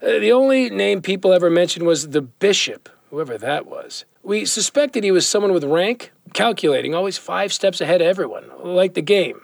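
A man's voice: high-pitched, expressive, moderate pace, gravelly, few pauses, no echo. A man playing a weary, guilt-ridden former cop and compulsive gambler in an audio drama, brooding and confessional in manner.

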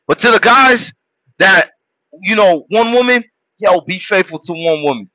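A man born in Haiti preaches to his listeners in a high, bright, forceful voice, shouting some points and dropping to a low murmur for others. The recording is dull and dry, with no room sound. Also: lively intonation, unhurried, no echo. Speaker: podcast host. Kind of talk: motivational monologue.

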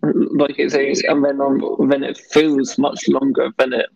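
A man's voice: mid-range voice, level tone, a little slow, creaky, highs cut off, a crowd in the background. A man in casual conversation who stammers, blocking on some words and often restarting. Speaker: guest talking about his stammer. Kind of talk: casual chat.

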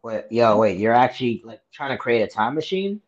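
A man's voice: mid-range voice, lively intonation, brisk. A man hosting a podcast, talking in a laid-back, slangy way. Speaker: podcast host. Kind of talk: casual chat. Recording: dull lo-fi mic.

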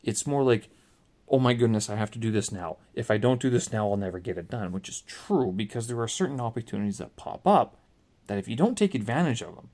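A man's voice: lowish voice, expressive, brisk, warm tone. Rambling middle-aged man. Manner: rambling, many fillers and restarts. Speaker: podcast host. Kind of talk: casual solo monologue.

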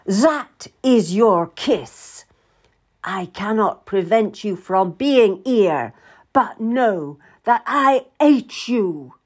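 A proud, wronged queen, played by a woman narrating a historical story, bitter and imperious. Her voice sits high and swings emphatically, with slow, hard-stressed words. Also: very animated, a little mellow, full character voice. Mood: furious, betrayed.

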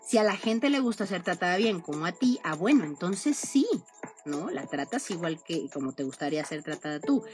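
A woman's voice: medium pitch, a little mellow. Lady chatting on her podcast, keeps going "no?", music under her. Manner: chatty, tags most sentences with a questioning "no?". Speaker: podcast host. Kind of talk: casual monologue.

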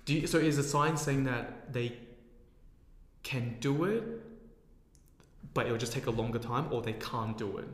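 A man's voice: mid-range voice, a little slow, pauses often. A man in casual chat, rambling and searching for words with many fillers. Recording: echoey room with long reverb.

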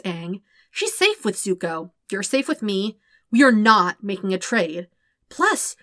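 A woman's voice: sits high, pushed and bright, no room sound, clean studio sound, voice pushed up, full character voice. A woman voicing a sarcastic, protective teenage older brother who explains things insistently in an audiobook story.

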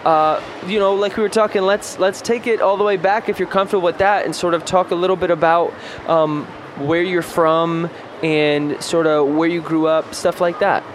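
A man's voice: a little high, steady tone, normal speed, hardly any pauses, a little hiss or hum. An American man from New York hosting a podcast in a casual, slangy, informal way. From New York, United States. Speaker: podcast host. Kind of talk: casual interview.

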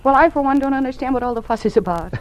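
An actress playing a sheltered, eager young teacher with an American accent in an old-time radio drama. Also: female voice, sits high, expressive, very fast. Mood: breezy, confident. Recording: noisy vintage radio recording.

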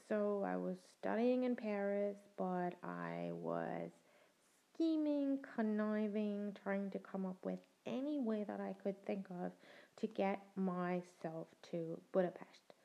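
A woman's voice: medium pitch, vocal fry, mellow. A young adult woman hosting a podcast, telling her own story in a reflective, conversational way and laughing now and then. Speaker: podcast host. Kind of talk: personal storytelling monologue. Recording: dull lo-fi sound.

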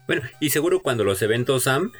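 A man's voice: mid-range voice, expressive, fast, soft background music. A man co-hosting a podcast, throwing in dry, wisecracking remarks during casual chat. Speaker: podcast co-host.

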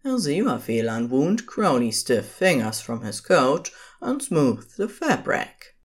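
A woman's voice: rumbling low, sing-song, a little slow, husky. A woman reading scripted story narration as the narrator of an audio drama.

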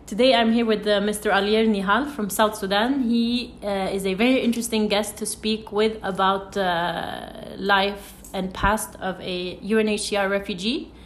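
A woman's voice: medium pitch, fairly even intonation, moderate pace, few pauses, a little hiss or hum. A woman hosting a podcast interview, talking hesitantly with many fillers and restarts. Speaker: podcast host. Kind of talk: interview conversation. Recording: echoey room.

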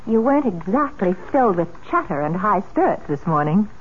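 Muffled old-radio actress as a teasing young heiress. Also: female voice, medium pitch, big pitch swings, brisk, close to an everyday voice. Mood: wry teasing. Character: bossy, teasing young wealthy fiancée. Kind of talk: 1970s radio drama acting. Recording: muffled, phone-like old radio recording, faint hiss.

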